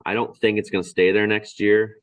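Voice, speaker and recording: Deep-voiced man, halting casual talk. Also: level tone, clean tone, no room sound. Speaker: board game show host. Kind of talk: casual chat.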